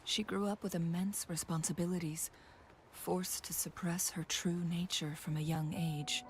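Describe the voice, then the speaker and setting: An actress voicing an earnest, thoughtful young female warrior in a video game, speaking in a measured, serious way. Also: fairly low pitch, steady tone, slight background noise. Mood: solemn, sympathetic. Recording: music behind the voice.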